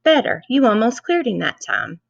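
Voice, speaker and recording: A woman's voice: fairly high pitch, huge pitch swings, moderate pace, vocal fry, dry recording, pitched above the performer's own voice, heavily acted. A woman voicing a tough older nun who coaches riding with blunt, urging commands, as in a storybook reading. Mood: brisk. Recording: dull, lo-fi close recording.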